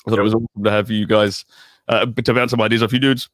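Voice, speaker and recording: Quick-talking male podcast host. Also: fairly low pitch, flat delivery, no echo, clean studio sound. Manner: upbeat, chatty, eager questions. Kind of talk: casual interview chat.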